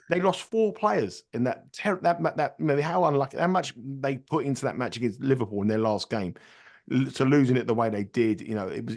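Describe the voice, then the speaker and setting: Man, blocked nose from a cold, casual podcast talk, repeats himself. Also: medium pitch. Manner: rambling, repeats words and restarts sentences. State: congested with a cold. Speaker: betting tipster on a podcast. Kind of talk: casual betting analysis chat.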